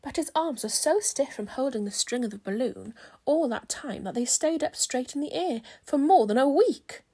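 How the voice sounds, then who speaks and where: A woman's voice: high-pitched, a little mellow. A woman reading a children's story aloud as scripted narration. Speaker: storybook reader.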